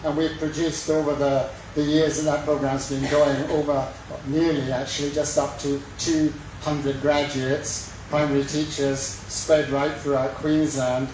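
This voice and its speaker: A middle-aged man with a west of Scotland accent giving a halting campaign speech at a lectern, with many fillers and self-deprecating jokes. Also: fairly high pitch, flat delivery, a little mellow, a little hiss or hum. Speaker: mayoral candidate. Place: large echoey sports hall. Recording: very echoey hall recording from far off.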